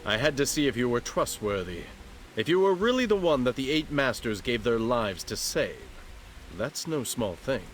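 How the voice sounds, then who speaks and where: A man voicing a guarded, world-weary martial arts master for a game cutscene. His mid-pitched voice moves widely in intonation, wry yet earnest. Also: big pitch swings, normal speed, a little hiss or hum, natural voice. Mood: earnest, regretful. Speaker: video game voice actor. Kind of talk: dramatic game cutscene acting.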